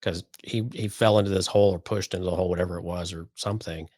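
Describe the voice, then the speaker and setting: A man's voice: low voice, steady tone, fast talker, a little mellow, no room sound, clean studio sound. A man co-hosting a podcast, discussing a story casually and conversationally. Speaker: podcast co-host.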